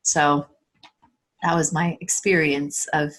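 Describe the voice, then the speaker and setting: A woman's voice: low voice, flat delivery, slow, a bit bright, rough recording. A middle-aged woman talking earnestly and reflectively as a guest in a casual conversation.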